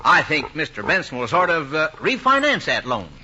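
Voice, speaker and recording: An actor playing a folksy small-town sheriff, stern but kindly, in an old-time radio drama. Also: male voice, a little high, huge pitch swings, normal speed, sharp tone, slight background noise, full character voice. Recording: vintage lo-fi radio recording.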